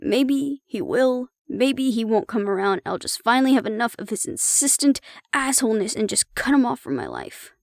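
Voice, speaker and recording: A woman's voice: a little high, sing-song, moderate pace, gravelly, no echo, clean studio sound. A woman acting in an audio roleplay as a young adult daughter, defiant, loyal and argumentative, with an emotional, sarcastic edge. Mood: weary, softening.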